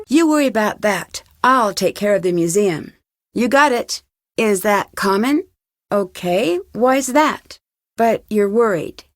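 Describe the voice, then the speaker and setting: A woman's voice: mid-range voice, no echo, studio quality. An actress playing an inquisitive, polite young woman detective in a video game, speaking in an earnest, questioning way. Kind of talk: video game dialogue acting.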